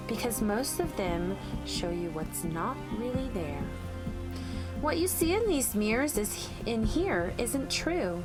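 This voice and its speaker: A woman narrator reading aloud to young children in a deliberate, expressive storytelling manner. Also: mid-range voice. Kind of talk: expressive read-aloud of rhyming picture book.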